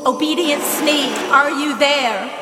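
An otherworldly witch in an audio play, drenched in long reverb over loud music. She calls out slowly and solemnly in a high, portentous voice. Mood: solemn, calling. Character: solemn otherworldly witch calling to her kin. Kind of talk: audio theatre acting.

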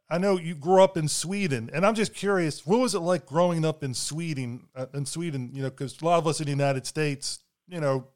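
A man hosting a podcast, introducing his guest and asking questions in a slightly halting way. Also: fairly high pitch. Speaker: podcast host.

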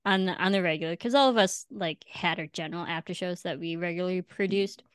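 A woman's voice: lowish voice, fast, clean tone, no room sound, studio quality. A young adult woman hosting a podcast, telling stories in a chatty, self-mocking way to a friend. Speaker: podcast host. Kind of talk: casual chat with a friend.

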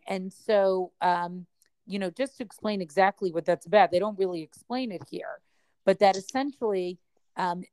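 A woman's voice: fairly low pitch, dry recording. A woman teaching in a study session, explaining at length and thinking aloud with frequent ums and hedging words.

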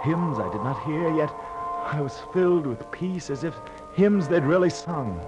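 A man's voice: a little high, lively intonation, normal speed, lo-fi sound, over music, close to an everyday voice. An actor playing a haunted, guilt-ridden sailor telling of his doomed voyage in fervent, theatrical 1940s radio drama style. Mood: haunted, awed. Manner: theatrical, fervent, anguished declamation. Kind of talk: 1940s radio drama acting, verse-like narration. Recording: noisy old 1940s radio recording.

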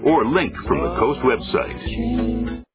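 A male announcer reading a scripted radio promo in a smooth, salesy, sing-song way. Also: on the high side, sing-song, slow. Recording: tinny radio with music bed.

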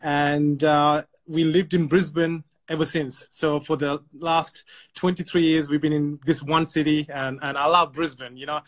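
A man's voice: a little high, level tone, unhurried. A man in his thirties, born in Sri Lanka, telling his story as a podcast guest over a video call, chatty and often repeating words. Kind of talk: casual storytelling.

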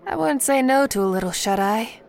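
An actress playing a weary, loyal traveling companion in a radio drama, with a dry, casual, understated delivery. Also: female voice, fairly high pitch, expressive, fairly quick, vocal fry, darkish tone. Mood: wry, tired.

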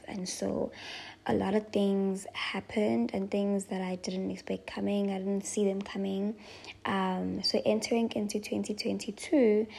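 A woman in her twenties with a South African accent, hosting a solo podcast and reflecting softly and thoughtfully, with frequent pauses and ums. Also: medium pitch, vocal fry, slightly rough. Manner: soft-spoken, slow and thoughtful with frequent ums. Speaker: podcast host.

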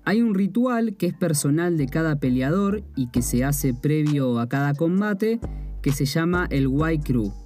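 Mellow-voiced young Argentine man explaining. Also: a little high, expressive. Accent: Argentine Spanish. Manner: measured, explains terms with "o sea" asides. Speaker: martial arts practitioner presenting. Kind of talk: explanatory presentation. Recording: faint guitar music behind.

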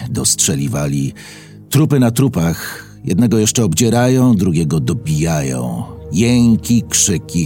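A man's voice: sits low, mellow. A man giving a dramatic reading of a historical diary in terse, grave phrases. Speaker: reader of historical texts. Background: faint music.

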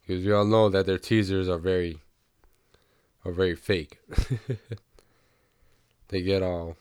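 A young man hosting a casual podcast, sick, who rambles easily and keeps clearing his throat. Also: low voice, no echo, clean studio sound. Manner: relaxed, rambling, with frequent throat clearing and small laughs. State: sick, voice a bit off. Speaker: podcast host. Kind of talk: casual chat about music news.

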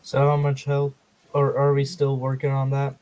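Male tabletop player, mid-pitched voice. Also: monotone, moderate pace, a little mellow, poor audio. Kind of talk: casual game chat.

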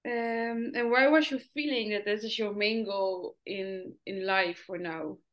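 A woman hosting a podcast, asking questions hesitantly with frequent pauses and fillers. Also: mid-range voice, steady tone. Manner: hesitant, many ums and uhs. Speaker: podcast host. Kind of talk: interview questions in English as a second language.

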